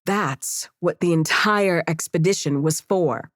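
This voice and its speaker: A woman's voice: on the low side, slow pace, no room sound, clean studio sound. A woman playing a cool, pragmatic cultivator adventurer in an audiobook, firm and matter-of-fact. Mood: firm, businesslike. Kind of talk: character acting in an audiobook.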